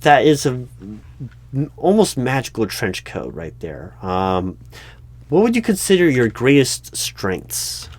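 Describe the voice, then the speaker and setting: A man playing a patient but flustered, unobservant recruiting manager in a one-sided ASMR interview roleplay, speaking aloud in a halting, puzzled way. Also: medium pitch, sing-song, darkish tone. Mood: bemused, hesitant. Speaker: job interviewer. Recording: faint noise, computer keyboard typing clicks. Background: keyboard typing and clicks.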